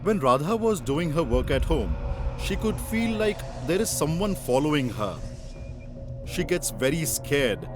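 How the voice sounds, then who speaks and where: High-voiced male storyteller over music. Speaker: narrator. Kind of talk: scripted children's story narration.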